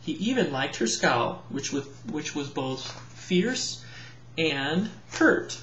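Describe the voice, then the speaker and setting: Man reading a storybook, lively pitch, echoey. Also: takes it slowly, a little hiss or hum. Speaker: parent reading aloud to children. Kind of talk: storybook read-aloud narration. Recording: roomy echo, slightly dull home recording.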